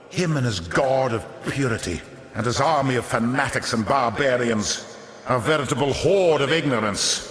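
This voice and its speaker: An actor playing a sly, pompous older fallen aristocrat turned greedy merchant in a video game, speaking in florid, theatrical, unctuous patter. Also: male voice, mid-range voice, a bit bright, close to an everyday voice. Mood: bitter, wistful. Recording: echoey hall reverb on voice.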